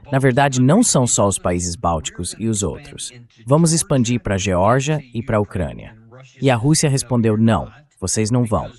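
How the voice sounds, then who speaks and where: An AI-generated male voice at a middling pitch delivers a translated voice-over. He speaks like an analyst in an interview, explaining and recounting in a narrative way. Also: a little mellow. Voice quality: synthetic AI voice. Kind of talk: translated voice-over of political commentary.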